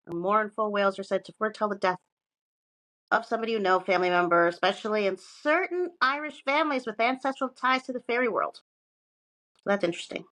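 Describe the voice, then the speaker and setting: A woman's voice: medium pitch, fairly quick, fairly bright tone, no echo, rough recording. A woman co-hosting a podcast, partly reading from notes and chatting casually, with sweary, self-mocking asides. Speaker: podcast co-host presenting research.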